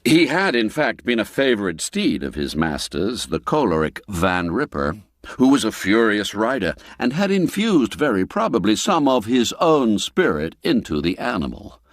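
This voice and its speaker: A man narrating a literary story as an audiobook, with a measured, expressive, old-fashioned storyteller's delivery. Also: on the low side, dry recording, clean studio sound. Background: background music.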